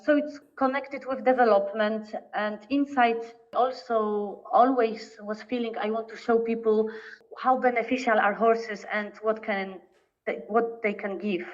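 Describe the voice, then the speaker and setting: A woman from Poland with a Polish accent, a podcast guest telling her story in English, often pausing to search for words. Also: on the high side, steady tone. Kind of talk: casual interview talk. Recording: distant, dull lo-fi remote audio.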